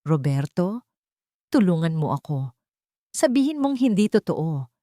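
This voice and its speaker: A woman's voice: fairly low pitch, sing-song, unhurried, dark tone, dry recording, studio quality. A woman voicing a desperate, humiliated young wife in a storybook drama, pleading and tearful.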